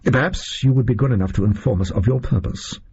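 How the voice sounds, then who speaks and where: A man's voice: mid-range voice, quick pace, gravelly, a little mellow, husky, voice pushed up. A man voicing a precise, haughty Victorian detective in formal, exacting speech. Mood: cool. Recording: muffled lo-fi recording.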